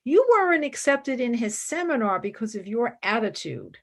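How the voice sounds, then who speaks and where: Actress as high-voiced, intense young student. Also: female voice, sing-song, moderate pace. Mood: reproving. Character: intense, idealistic young woman philosophy student, exacting, earnest. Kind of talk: radio play acting.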